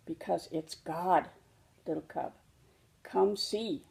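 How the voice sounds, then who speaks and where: A woman voicing a gentle, patient mother bear who explains things reassuringly in a children's storybook. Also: fairly low pitch, slow, gravelly. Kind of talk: children's storybook read-aloud.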